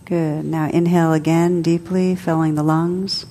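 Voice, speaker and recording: A woman leading a guided meditation as a teacher, soothing and unhurried, speaking in short phrases with long pauses. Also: deep voice, level tone, mellow, a little hiss or hum. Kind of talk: guided meditation, spoken to a group.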